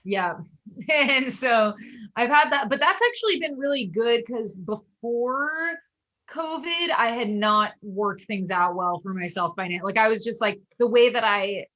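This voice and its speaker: A woman who leads a band, chatting casually as a podcast guest, rambling with lots of "like" fillers and quick laughs. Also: a little high, animated, pushed and bright. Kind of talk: casual chat.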